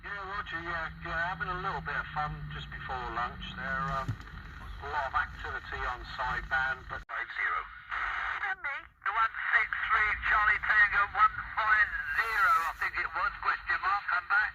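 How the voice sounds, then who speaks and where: A man's voice: a little high, moderate pace, pauses often. A man talking on a CB radio net, heard through a radio transmission, trading casual chat and call signs. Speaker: CB radio operators on air. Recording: dull CB radio audio with loud static noise.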